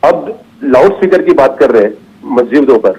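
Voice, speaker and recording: A man arguing as a panelist in a TV news debate, explaining his points haltingly over a remote feed. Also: medium pitch, animated, darkish tone, slightly rough. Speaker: party spokesperson, debate panelist. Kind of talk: TV debate argument. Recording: muffled lo-fi remote call feed.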